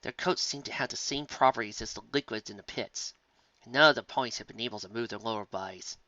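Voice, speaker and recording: A man reading a story aloud in an expressive, animated storybook manner. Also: mid-range voice, fast talker, dry recording. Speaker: audiobook reader. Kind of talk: storybook narration. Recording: dull lo-fi dry recording.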